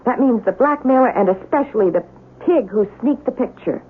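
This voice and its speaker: An actress playing an anxious but defiant film star caught in blackmail, in old-time radio drama style. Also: female voice, fairly high pitch, expressive, moderate pace, phone-quality sound, slight background noise, natural voice. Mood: indignant. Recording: thin, band-limited old radio broadcast.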